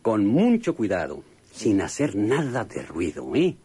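A man acting in a radio drama as an excited, scheming rustic treasure hunter, with a folksy, exclamatory northern Mexican manner of speech. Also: lowish voice, unhurried, husky, exaggerated voice. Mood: scheming, explaining.

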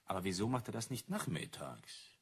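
An actor playing an older, curious and sceptical card-playing gentleman in a crime radio play. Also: low voice, very animated, fairly quick, gravelly, breathy edge, heavily acted.

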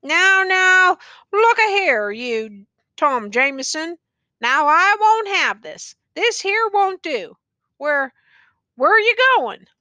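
A battered male soldier rambling in a slurred, folksy drawl, as a woman reader puts him on. His voice rides noticeably higher than the narration and swoops up and down as he frets, with a bright, forward edge. The words come slowly and drag. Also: very animated, dry recording, full character voice. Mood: pleading, plaintive. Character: rambling wounded old-fashioned male soldier.

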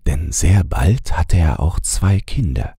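A man narrating a bedtime fairy tale as an audiobook reader, in a calm, measured storytelling manner. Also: low-pitched, breathy edge, no echo, studio quality.

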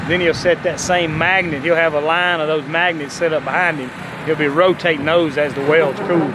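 A man's voice: a little high, fairly even intonation, normal speed. A man, casually explaining roofing work step by step with plenty of fillers, recorded outdoors on a commercial roof with a handheld camera. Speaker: roofing company owner showing a job site. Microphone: handheld camera at arm's length. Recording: loud wind and site noise outdoors.

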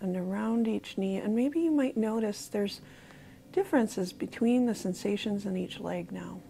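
A woman with an American accent, slowly guiding a body scan meditation in a soft, calm voice. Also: mid-range voice, vocal fry. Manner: slow, soft-spoken, calm guidance with pauses. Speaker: mindfulness guide.

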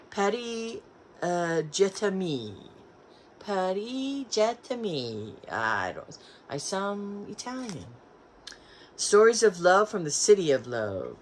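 A middle-aged woman with a low voice chats through her thrift finds, unhurried and a little stumbling as she reads the packaging aloud. She sits close to a camera in a room at home lined with shelves, with a faint hiss of room noise behind her. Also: fairly bright tone. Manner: chatty, reads back covers aloud, stumbles on names. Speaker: collector showing a thrift haul. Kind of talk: casual haul video. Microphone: camera in front, seated in armchair.

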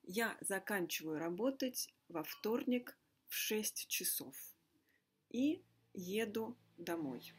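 A woman teaching a language lesson in deliberate, simple, carefully repeated phrases. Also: medium pitch, lively intonation, slightly rough. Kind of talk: slow scripted language lesson monologue. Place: indoor room with a fireplace.

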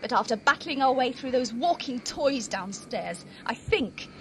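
A woman plays a worried, demanding mother in an audio drama. Her voice is very high and swoops expressively over clear background noise. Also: expressive, a little slow. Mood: determined. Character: frightened but determined English mother, anxious, demanding. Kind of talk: fan audio drama acting.

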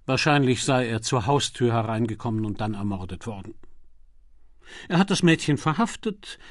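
Methodical male police detective, voiced by a man, factual, deliberate, unruffled, mid-pitched, audiobook mystery. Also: no echo, studio quality, close to an everyday voice.

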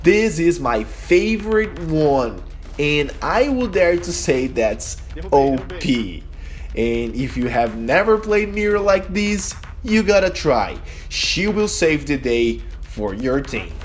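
A Brazilian-accented man whose first language is Portuguese talks through a game guide at an unhurried pace. His pitch moves up and down a lot as he explains tactics, and his phrasing is careful and earnest. Soft music plays underneath. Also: big pitch swings. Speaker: channel host, game guide. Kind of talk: gameplay tutorial voice-over.

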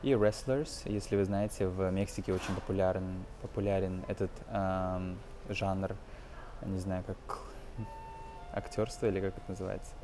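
A man in his twenties giving an informal language lesson as a teacher, explaining phrases in a halting, hesitant way. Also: deep voice, steady tone, music in the background. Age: 20s. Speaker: English teacher.

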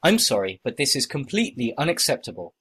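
A man acting out short scripted everyday dialogues for a listening test, with plain, expressive conversational reading. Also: medium pitch, fast talker, husky.

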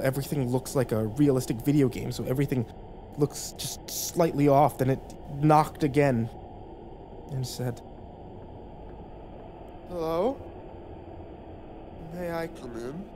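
A man narrating a first-person horror story, hushed and tense, with occasional acted lines. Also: medium pitch, steady tone, moderate pace, slight background noise.

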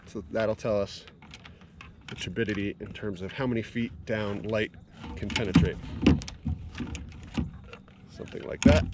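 A fairly low-voiced man in his thirties talks to an action camera held at arm's length while sitting in a kayak on a lake. His relaxed, explanatory delivery is full of fillers as he thinks aloud. Clear outdoor noise runs under the camera audio. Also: a little slow, pauses often. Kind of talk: casual outdoor demonstration.

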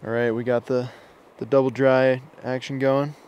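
A man's voice: medium pitch, level tone, moderate pace, darkish tone, clean tone, dull audio. A young adult man talking in an informal, slangy way, as in a casual vlog. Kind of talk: casual vlog talk and narration.

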